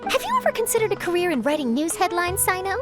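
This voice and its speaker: A woman's voice: very high voice, quick pace. A woman playing a perky young cat-girl courier in a video game, polite and earnest, with music behind her. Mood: earnest, bossy. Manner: polite, earnest, animated customer-service talk.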